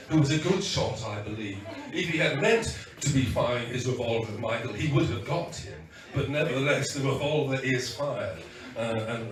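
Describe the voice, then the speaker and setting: Hoarse man speaking at a launch. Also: mid-range voice. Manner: halting, many restarts and fillers, wry asides. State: hoarse, losing his voice. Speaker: guest speaker at a book launch. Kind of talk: informal launch speech. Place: event hall with audience. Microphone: PA microphone, heard from a distance. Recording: echoey hall recorded from far off.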